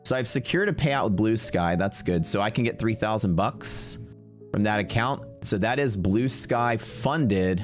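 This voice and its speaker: A man's voice: on the low side, lively intonation, a little slow, soft background music. A man with a Texas accent, streaming live day trading and chatting casually and brashly with his viewers. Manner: casual, brash, talks to chat.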